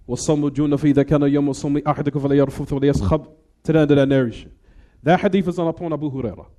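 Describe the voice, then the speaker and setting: Quick-talking male Islamic teacher. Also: on the high side, mellow. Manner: asks the class questions and answers them himself; recites Arabic text quickly between plain explanations. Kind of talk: classroom lecture on hadith.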